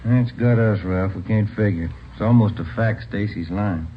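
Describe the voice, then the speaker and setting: A man's voice: on the low side, normal speed, close to an everyday voice. A man playing a plain-talking, puzzled police detective in a radio drama, speaking in casual clipped sentences. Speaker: detective partner. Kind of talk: 1950s radio drama acting. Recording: tinny, noisy old radio recording.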